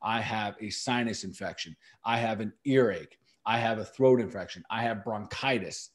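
A man's voice: on the low side, normal speed. Emphatic man explaining natural remedies. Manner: emphatic, repeats key words for stress. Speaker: natural medicine practitioner and podcast host. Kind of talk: casual podcast explanation.

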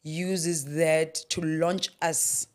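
A woman's voice: deep voice, level tone, extremely slow. A South African woman in her thirties, a guest author speaking in a preacherly way and repeating phrases for emphasis, on a studio mic. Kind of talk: faith-based interview talk. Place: podcast studio with a yellow backdrop. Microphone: studio mic on a boom arm.

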